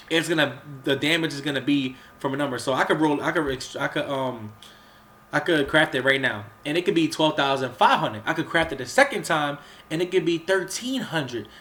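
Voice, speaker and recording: A man with a cold who keeps clearing his throat, casually talking through a game's crafting menus with lots of fillers and restarts. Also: fairly high pitch, pushed and bright. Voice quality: sounds a bit off from a cold. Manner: rambling, with many fillers and restarts. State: under the weather, coughing and clearing his throat. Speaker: gaming channel host. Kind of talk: casual gameplay walkthrough commentary.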